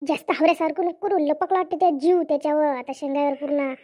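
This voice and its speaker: Cartoon older farm woman, played by a woman: extremely high pitch, Varhadi Marathi accent, bossy, chatty, rustic. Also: steady tone, quick pace, dark tone, close to an everyday voice. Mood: bossy, scolding. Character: bossy, hardworking older village woman, farm labour leader. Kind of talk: comic cartoon dialogue.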